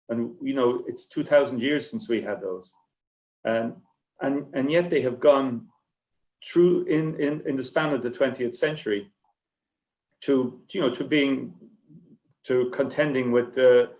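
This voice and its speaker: Male writer on a panel, halting and repetitive delivery, rambling answers, echoey recording. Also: mid-range voice, darkish tone. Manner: halting, stammering, repeats words and restarts. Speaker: short story writer on a festival panel. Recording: echoey, roomy sound.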